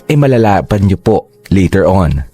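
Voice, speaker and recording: A man's voice: deep voice, sing-song, normal speed, warm tone. A man giving confessional first-person narration for a drama, moving easily between Tagalog and English phrases. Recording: faint music underneath.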